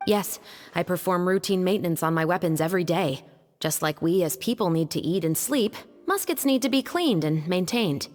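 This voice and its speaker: A woman voicing a disciplined, serious officer and weapons expert in an anime-style video game, firm, measured and instructive. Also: on the low side, lively intonation, a little mellow, soft background music, natural voice. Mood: composed, earnest.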